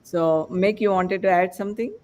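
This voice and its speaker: A man hosting a panel discussion as podcast host, speaking in a halting, rambling way. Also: sits high, steady tone, a little mellow, a little hiss or hum.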